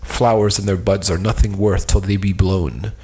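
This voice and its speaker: A man's voice: low-pitched, fairly even intonation, normal speed, mellow, close to an everyday voice. A man playing a lovesick, melancholy young nobleman in a stage play, speaking archaic lines in a lofty, declamatory way. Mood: yearning, aggrieved.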